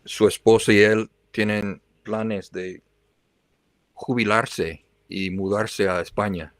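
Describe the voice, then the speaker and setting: A man's voice: lowish voice, fairly even intonation, measured pace, dry recording. A man hosting a livestream, chatting casually with viewers and reacting to their comments, sometimes switching into learner's Spanish. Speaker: livestream host.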